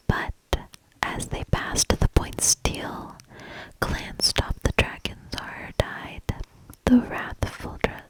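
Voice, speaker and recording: A woman reading a fairy tale aloud in a breathy, hushed ASMR whisper with a measured delivery. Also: low-quality recording. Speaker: ASMR storybook reader.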